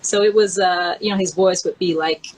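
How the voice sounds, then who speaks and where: Low-pitched, husky-edged woman chatting casually. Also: fairly even intonation, normal speed, a little mellow, poor audio. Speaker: co-host, memoir author. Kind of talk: casual chat, reminiscing.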